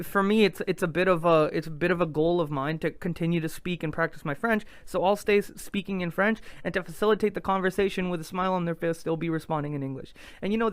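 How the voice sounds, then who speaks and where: A man's voice: fairly high pitch, smooth, no room sound. A man from Quebec hosting a podcast, chatting casually and rambling with many restarts. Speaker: podcast host.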